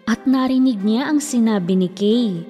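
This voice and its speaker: A woman's voice: a little high, measured pace, warm tone. A woman storyteller reading a scripted children's fairy tale as narrator, with long reverb on the voice. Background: faint music.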